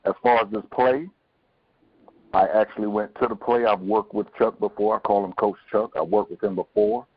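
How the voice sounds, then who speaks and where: Deep, husky man on a phone-like line. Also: fairly even intonation, normal speed, dry recording. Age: middle-aged. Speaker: stage actor and podcast guest. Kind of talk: personal interview answer. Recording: muffled phone-like call.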